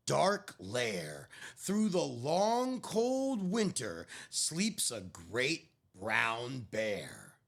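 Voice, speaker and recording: A woman reading a picture book aloud to young children, expressively, with drawn-out dramatic phrasing and friendly asides. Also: on the low side, fairly bright tone, slightly rough. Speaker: children's storyteller.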